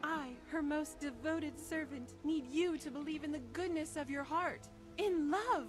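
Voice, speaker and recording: An earnest young-sounding temple priestess pleads in a very high voice with lofty, devout fervour, as in a fantasy game. Music plays clearly behind her. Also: a little slow. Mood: fervent.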